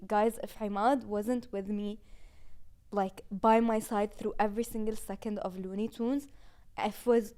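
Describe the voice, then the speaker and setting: A woman in her twenties, a podcast host, talking casually and warmly about finishing a season, with frequent "like"s. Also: medium pitch, smooth. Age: 20s. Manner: casual, chatty with many "like"s and laughs. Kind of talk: heartfelt season-finale reflection.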